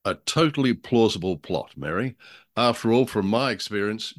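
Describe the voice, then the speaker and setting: A man's voice: on the low side, animated, normal speed, no room sound, studio quality. An older man co-hosting a casual radio drama discussion with hesitant, wryly formal phrasing. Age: older, grandfather. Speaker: podcast co-host.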